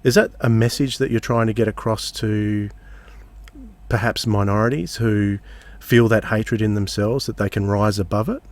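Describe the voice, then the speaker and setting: A man hosting a casual interview, feeling his way haltingly into his questions. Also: fairly low pitch. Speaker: podcast host, interviewer.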